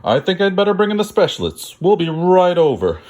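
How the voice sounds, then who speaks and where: An earnest storybook doctor, as a male reader puts him on, with a highly animated delivery. He exclaims and reels off lists in a bumbling, professional way. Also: fairly quick. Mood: brisk. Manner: exclamatory, rattles off lists of symptoms.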